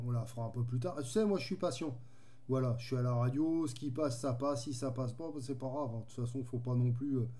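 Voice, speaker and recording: Man with a very dark, mellow voice. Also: mid-range voice. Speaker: amateur radio operator. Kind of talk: casual radio chat.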